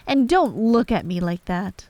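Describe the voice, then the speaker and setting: A woman's voice: mid-range voice, expressive, measured pace, warm tone, no echo, natural voice. Storybook king, played by a woman: pompous, fussy and imperious, haughty and emphatic in delivery. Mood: indignant.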